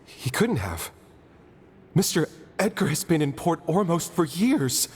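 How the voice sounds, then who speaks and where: A man's voice: fairly high pitch, very animated, slight background noise. A man voicing a loyal, anxious young man in a game who speaks hesitantly, stumbling over his words. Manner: hesitant, halting, pleading. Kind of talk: voiced game dialogue.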